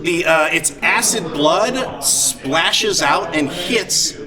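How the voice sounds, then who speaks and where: A man acting as game master of a live tabletop game, explaining and narrating in a casual, halting way. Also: a little high, lively intonation, far from the mic, heavy background noise. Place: live convention game in a large indoor space. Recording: loud crowd in big hall, off mic. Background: loud convention crowd.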